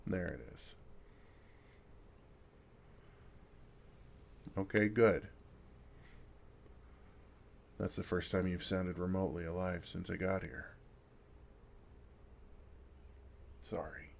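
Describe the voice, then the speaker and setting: Male actor as older male vampire, kind and wry, gravelly low voice, casual dry sarcasm, intimate ASMR roleplay. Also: steady tone, moderate pace, slight background noise. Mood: gentle, reassuring. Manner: gentle, casual, self-deprecating, dryly sarcastic.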